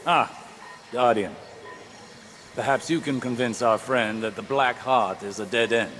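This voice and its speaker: An actor playing a wise, stern old warlock scholar in a video game, speaking in a measured, formal, grave way. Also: male voice, medium pitch, lightly acted. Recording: echoey game audio with faint noise.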